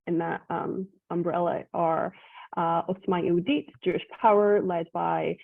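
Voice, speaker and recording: A woman's voice: lowish voice, steady tone, a little slow, gravelly, no room sound. A woman with a Scottish Israeli accent, giving an informal talk as a guest speaker, articulate and conversational, with frequent ums. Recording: tinny dry phone-like call audio.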